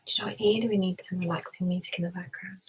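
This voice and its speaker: A woman hosting an ASMR stream, speaking in a soft whisper with a gentle, soothing, unhurried manner. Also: lowish voice, steady tone, very noisy. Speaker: ASMR live stream host. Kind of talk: ASMR personal attention roleplay.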